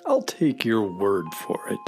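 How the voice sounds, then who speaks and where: A man's voice: fairly low pitch, wildly swooping pitch, measured pace, loud music behind the voice, full character voice. A man playing a reluctant, grumbling space horse sidekick with a dry, sardonic manner in a children's audio comedy. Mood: weary, reluctant.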